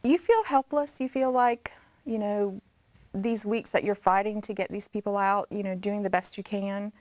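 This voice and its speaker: A middle-aged woman, a nurse, giving a halting interview. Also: medium pitch, a little mellow, no room sound.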